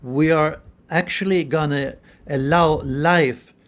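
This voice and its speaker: Man in his seventies, unhurried pace, slightly high pitch, earnest, pausing, reflective spiritual talk, phone recording indoors. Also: fairly even intonation, a bit bright. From Sweden. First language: Swedish. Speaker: spiritual vlogger. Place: small room indoors. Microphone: phone at arm's length.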